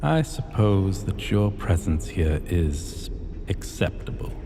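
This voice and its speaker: Man voicing an arrogant, very slow, cavernous dragon king. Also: sits low, sing-song, mellow, full character voice. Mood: smug. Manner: pompous, deliberate, archaic formal diction. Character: arrogant, possessive male dragon king, ancient hoard-keeper. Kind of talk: scripted fantasy ASMR roleplay. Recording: long cavernous reverb, faint noise.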